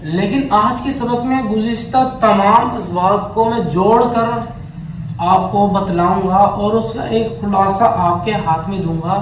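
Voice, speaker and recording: A man's voice: sits high, slight background noise. A man teaching a beginner Arabic grammar lesson, patiently repeating each definition. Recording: echoey room heard from a distance.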